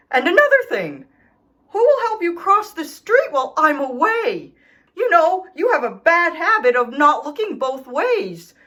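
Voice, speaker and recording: Woman voicing an indignant, animated storybook dog. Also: high voice, heavily acted. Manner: theatrical, aggrieved, emphatic. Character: indignant, self-pitying, melodramatic male dog writing letters home. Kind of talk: expressive children's storybook read-aloud.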